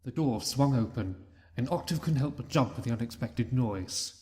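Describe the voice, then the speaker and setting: A man narrating a fan-written story in a steady reading voice. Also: medium pitch, normal speed. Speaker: reader.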